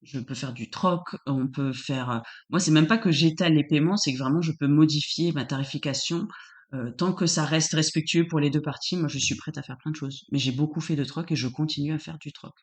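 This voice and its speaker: A woman's voice: sits low, fairly quick. A woman working as a doula, answering interview questions casually with frequent restarts as she searches for words.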